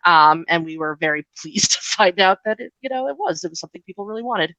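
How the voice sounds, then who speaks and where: A woman with a bright, clear-edged voice that sits a little low, recorded close and dry. She talks as an interview guest, informal and chatty, with plenty of "like" and "you know.". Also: lively intonation, quick pace, no room sound, poor audio. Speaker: interview guest, comics writer and editor. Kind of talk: casual conversational interview.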